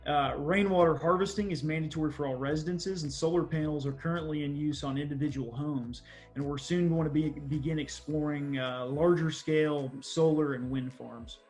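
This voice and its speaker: Texan man presenting, higher-pitched voice. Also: fairly even intonation, moderate pace, a little mellow, faint music behind. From small town Texas, USA. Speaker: development manager presenting on a panel. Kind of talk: semi-formal online presentation.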